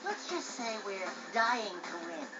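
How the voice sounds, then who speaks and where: A very high, swooping little male cartoon animal. He is a cocky, excitable sidekick, whiny and full of sarcasm. Loud music plays behind the game dialogue. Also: unhurried, highs cut off. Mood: wisecracking. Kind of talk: comic game cutscene acting.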